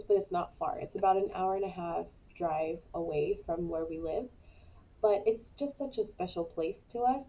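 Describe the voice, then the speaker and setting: Woman, congested, lowish voice, chatty and rambling, dull lo-fi stream audio, faint hum. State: congested with a cold. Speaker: host of a weekly live business show. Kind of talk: casual live-stream chat.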